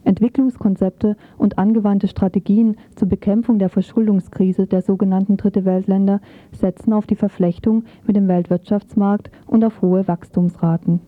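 A woman narrates a scripted radio report. Her voice sits at a medium pitch, in a very dry recording with a narrow, telephone-like band. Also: little pitch movement, moderate pace, hardly any pauses, no echo. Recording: muffled, phone-like narrow band.

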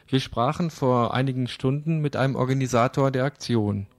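A man's voice: mid-range voice, moderate pace, no room sound. A man presenting radio news from a script.

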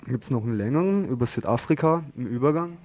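A man's voice: mid-range voice, expressive, fast, a little mellow. A man co-hosting a live radio show, making informal announcements in a studio. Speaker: radio co-host.

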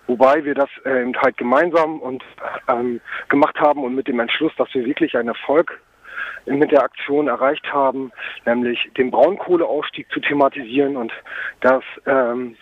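A man speaking as an activist in a spontaneous phone interview, halting and full of ums, over a mobile phone. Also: medium pitch, creaky, dry recording. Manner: hesitant, halting, frequent ums. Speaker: climate camp activist being interviewed. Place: at a climate camp, on a mobile phone. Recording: dull, lo-fi mobile phone line.

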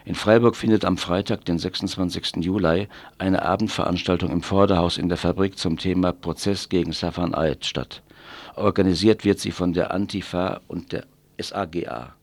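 A man with a low voice reads a scripted radio commentary. His phrasing is pointed and sardonic, and the recording is dry and close. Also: steady tone, moderate pace, no room sound. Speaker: radio news presenter.

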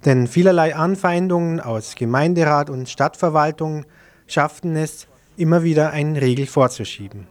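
A man's voice: on the high side, moderate pace, darkish tone. A man working as a radio interviewer, reading a prepared report and putting questions to a guest.

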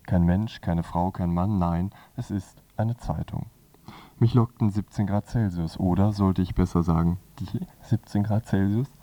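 Low-voiced man reading a radio review. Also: steady tone, unhurried, mellow. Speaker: radio presenter reviewing a magazine. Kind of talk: scripted radio review narration.

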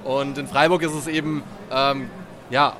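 A man's voice: mid-range voice, steady tone, measured pace. A man, an activist being interviewed outdoors at a street festival, talking casually with many fillers and restarts. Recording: loud crowd around.

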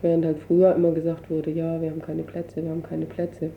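A woman's voice: sits low, completely flat delivery, fairly quick, creaky. A woman giving spontaneous interview explanations in a colloquial, hesitant way, searching for words as she goes. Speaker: interviewee, refugee council representative. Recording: dull lo-fi radio recording, noisy.

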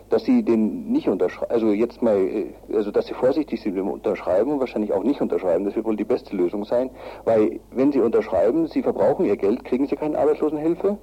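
A man with a lowish, very dark and husky voice gives spontaneous legal advice on the radio. He talks haltingly, repeating and rewording his phrases as he explains. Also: rough recording. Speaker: lawyer giving advice in a radio interview.

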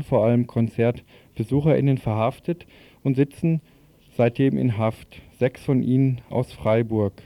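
Male radio presenter, very mellow dark tone, dry close sound, scripted news delivery, interview questions. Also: medium pitch, no room sound.

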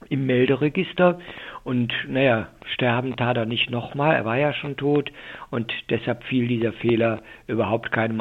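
An older man explaining things as an expert in a radio interview over the telephone, with halting delivery and many filled pauses. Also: mid-range voice. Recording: muffled, thin telephone line.

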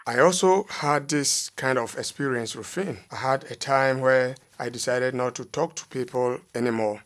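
A man's voice: mid-range voice, moderate pace. A man presenting a podcast as co-host, with scripted lines in dialogue.

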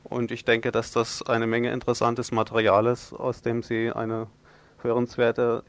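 A man's voice: medium pitch, monotone, a little slow. A man hosting a radio news magazine, presenting and interviewing.

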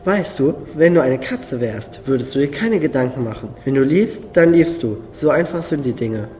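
Guy doing a grumpy wise cat in a radio play, soft dark voice, big echo, faint music. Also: medium pitch, animated, normal speed, natural voice. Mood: matter-of-fact. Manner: blunt, mildly cursing, matter-of-fact. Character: gruff, worldly-wise talking male cat, blunt companion. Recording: long reverb, faint music behind.

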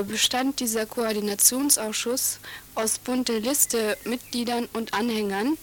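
A teenage girl talking in a radio interview as a student activist, halting with many fillers and restarts. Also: a little high, a bit bright.

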